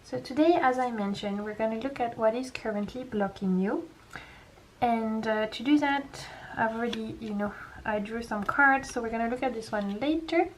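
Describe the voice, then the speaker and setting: A young woman with a French accent, a French native speaker giving a gentle, reassuring pick-a-pile tarot reading full of casual fillers. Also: a little high, moderate pace, slight background noise, poor audio. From France. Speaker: tarot reader.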